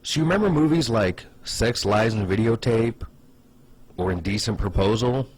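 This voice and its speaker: A man's voice: lowish voice, expressive, normal speed, darkish tone, husky. A man giving casual comedic commentary in a conversational way, with hesitant asides and plenty of "like". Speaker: online video host.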